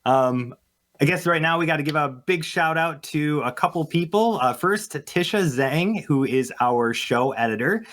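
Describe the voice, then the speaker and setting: A man's voice: on the high side, animated, moderate pace, a bit bright. A man hosting a cast table read, giving casual thanks and credits.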